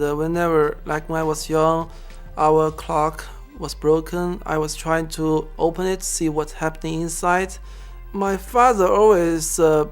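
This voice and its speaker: A man from Zhejiang with a Chinese accent, a student researcher telling about himself and his work in halting, non-native phrasing. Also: a little high, clear, resonant tone. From Zhejiang, China. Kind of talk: interview-style personal and project account.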